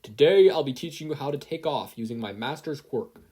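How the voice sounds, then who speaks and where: An earnest older superhero mentor acted by a story reader, loud and emphatic. His delivery is husky and swings in pitch, stretching out his instructions. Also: lively intonation, fairly quick. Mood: instructing. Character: booming, earnest older male hero mentor.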